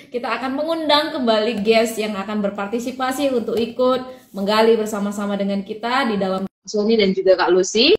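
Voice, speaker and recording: A woman's voice: fairly high pitch, a little fast. A woman co-hosting an online Bible study live stream in a chatty, casual way, often laughing and restarting sentences.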